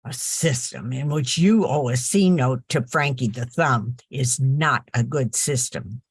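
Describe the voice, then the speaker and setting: Woman playing a low, unhurried, sharp-tongued sister. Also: husky, no echo, exaggerated voice. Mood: exasperated, firm. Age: older. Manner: folksy, firm. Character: sensible, sharp-tongued farm-raised sister of a gambler. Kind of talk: 1940s radio play acting.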